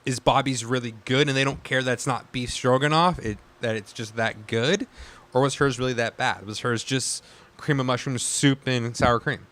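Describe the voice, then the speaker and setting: A man of average pitch who co-hosts a casual podcast. He teases his partner, argues back readily and swears now and then. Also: steady tone, normal speed, a bit bright. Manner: teasing, argumentative, swears. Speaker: podcast co-host and producer. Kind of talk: casual chat.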